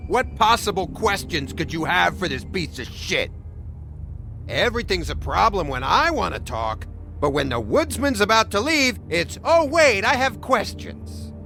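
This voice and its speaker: A male actor in a video game playing a bitter, drunk, foul-mouthed man, sarcastic and volatile, with a gravelly voice. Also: sits high, big pitch swings, unhurried, pushed and bright, heavily acted. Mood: indignant. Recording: music under the voice.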